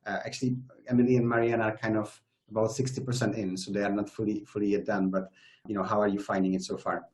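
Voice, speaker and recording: A man hosting an online community interview over a video call, asking questions with frequent pauses and restarts. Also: lowish voice, little pitch movement. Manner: friendly, hesitant, many fillers and restarts. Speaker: community host.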